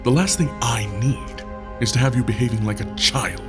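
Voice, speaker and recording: A man playing a brooding male leader weighed down by guilt, stern, measured and weary, in an audio drama with music underneath. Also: medium pitch, lightly acted.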